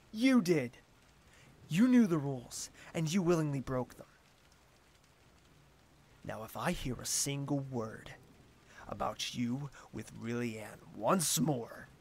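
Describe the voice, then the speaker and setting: A man acting an imperious, slow-spoken royal, creaky-voiced. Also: on the high side, full character voice. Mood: furious, then cold, threatening. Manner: formal, imperious, theatrical. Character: haughty, jealous royal ruler, commanding and wounded. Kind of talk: audio drama acting.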